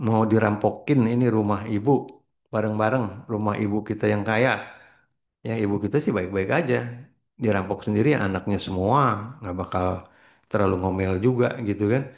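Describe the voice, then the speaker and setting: A middle-aged man gives a loose, meandering motivational talk in a low-pitched voice. He drifts between thoughts, slips English words into his speech and clears his throat often. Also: steady tone, fairly quick, a little mellow. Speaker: spiritual trainer.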